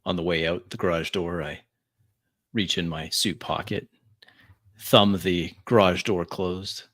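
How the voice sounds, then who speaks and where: A man's voice: low voice, fairly even intonation, unhurried, fairly bright tone. A man improvising first-person narration of his character's actions in a tabletop roleplaying game.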